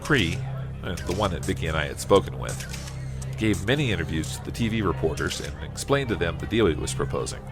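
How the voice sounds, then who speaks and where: A man's voice: low voice, expressive, a little fast, music in the background. A man playing a humble, plain-spoken farmer from Iowa in an audio drama, a little hesitant and folksy. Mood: reflective narration. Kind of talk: audio drama acting and first-person narration.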